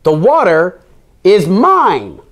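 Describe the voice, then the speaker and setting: A man voicing a quarrelsome, possessive frog from a storybook, with a bratty, defiant shout. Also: high-pitched, wildly swooping pitch, slow pace, voice pushed up, exaggerated voice. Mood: angry, possessive.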